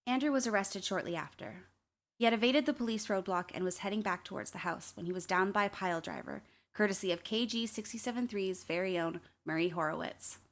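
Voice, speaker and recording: A woman's voice: medium pitch, a little fast, lightly acted. A woman as a reflective young documentary narrator. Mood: reflective, somber. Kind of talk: scripted radio play narration.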